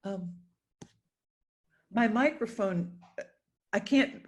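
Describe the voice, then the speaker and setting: A mature woman talking haltingly and informally in a casual discussion over a video call. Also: medium pitch. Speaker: volunteer reader, discussion participant.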